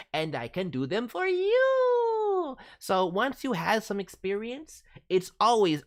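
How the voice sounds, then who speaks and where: A man's voice: high-pitched, a bit bright, full character voice. A man voicing an eager, encouraging cartoon raccoon mascot who hosts with cutesy, playful wording in casual livestream commentary. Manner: cutesy playful word forms. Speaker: VTuber host reviewing voice demo reels.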